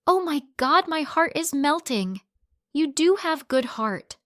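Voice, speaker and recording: A woman reading the lines of an angry young wife, yelling and mocking her husband. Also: a little high, normal speed, dry recording, clean studio sound, pitched above the performer's own voice, natural voice. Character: young wife, furious and sarcastic.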